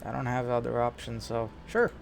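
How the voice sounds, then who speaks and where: A woman's voice: extremely low pitch, flat delivery, moderate pace, creaky, heavy background noise. A woman streaming a video game, reacting casually to the characters with playful, dry asides. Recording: game music behind the voice.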